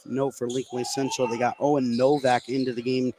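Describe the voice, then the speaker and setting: A man with a mid-pitched voice adds analysis as color commentator on a live basketball broadcast. Clear gym noise and bouncing balls sit behind his voice. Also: little pitch movement, brisk. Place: indoor basketball gym during a game. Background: loud gym ambience, basketball bounces.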